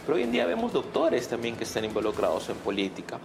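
A man's voice: medium pitch, huge pitch swings, fast. A man with a Peruvian accent answering interview questions conversationally as a guest, hesitating and adding tag questions. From Peru. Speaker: guest, political candidate. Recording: clear background noise.

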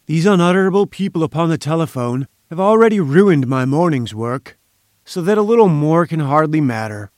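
Man acting a booming, pompous professor. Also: expressive, moderate pace, a little mellow, no echo, studio quality, close to an everyday voice. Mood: scornful, irritated. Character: blustering, scornful, pompous male professor.

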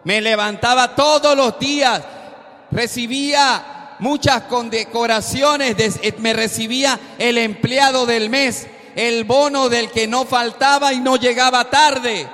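A man with a very high voice delivers a sermon at a slow, deliberate pace. He exhorts his listeners earnestly and breaks into loud emphatic peaks. His voice rings through a long reverberant hall. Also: fairly even intonation. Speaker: preacher.

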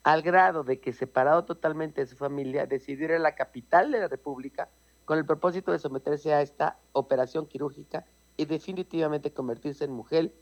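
A man's voice: on the high side, brisk. A Mexican man with a Mexican Spanish accent, chatting casually and reading out an old news story, explaining with asides and repeated words.

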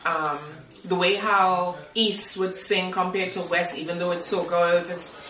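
An interviewer with a Trinidadian accent, asking questions in casual chat and pausing to find the words. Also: medium pitch, flat delivery, bright, forceful tone. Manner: hesitant, with fillers and restarts while asking. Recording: echoey room.

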